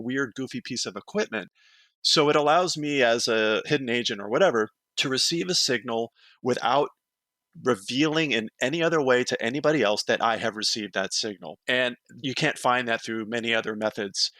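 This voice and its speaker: A man giving an expert guest's measured explanations, often checking in with "right?". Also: medium pitch, pushed and bright, no echo. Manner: measured, explanatory, tag questions. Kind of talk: interview answers.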